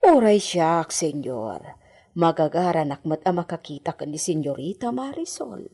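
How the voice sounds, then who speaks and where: A woman's voice: sits low, very animated, moderate pace, warm tone, breathy edge, full character voice. A woman playing a loyal, anxious Filipina household servant in a radio drama, exclaiming and deferential. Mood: respectful worry. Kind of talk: Ilocano radio drama acting.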